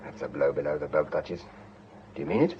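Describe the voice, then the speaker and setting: An actor playing a flippant, hot-tempered upper-class British gentleman in a radio drama, with a dry, sardonic drawl. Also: very deep voice, steady tone, fast, slight background noise. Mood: tender, confessional. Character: suave, flippant, hot-tempered English gentleman under suspicion. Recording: dull lo-fi radio drama recording.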